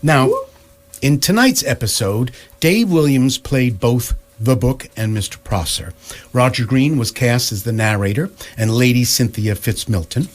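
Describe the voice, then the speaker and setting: A man's voice: medium pitch, lively intonation, unhurried. A man playing a dry, mock-solemn narrator in a scripted radio play. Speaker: narrator and announcer.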